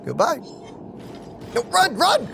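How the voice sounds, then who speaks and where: Playful, very animated gamer commentator. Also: very high voice, slow pace, vocal fry, noisy background. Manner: playful, slangy. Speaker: let's player. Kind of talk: casual gameplay commentary with a co-player. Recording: game music behind the voice. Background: video game music.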